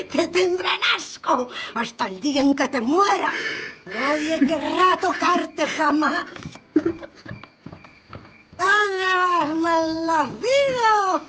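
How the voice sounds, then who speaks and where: Drunk, venomous mother, high and slow. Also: background noise, heavily acted. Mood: taunting, bitter. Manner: slurred, jeering, venomous insults. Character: drunk, bitter, abusive mother of a grown son. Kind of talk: Spanish-language radio drama acting.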